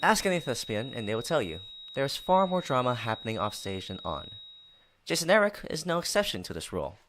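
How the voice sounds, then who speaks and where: A man's voice: mid-range voice, big pitch swings, a little fast, lightly acted. A man playing a wry storyteller, narrating a scripted audio drama. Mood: wry, storytelling. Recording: noisy background, vehicle-like ambience.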